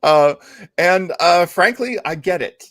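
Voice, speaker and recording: A middle-aged or older American man casually hosting a discussion, measured and halting, often pausing mid-sentence. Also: high-pitched, expressive, fairly bright tone, dry recording, clean studio sound. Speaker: host of an old-time radio discussion show.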